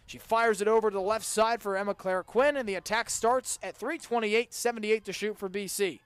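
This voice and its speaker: A young man calling a college game as a radio play-by-play announcer, with brisk, precise calls that lift sharply on scoring plays. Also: very high voice, a bit bright, no echo.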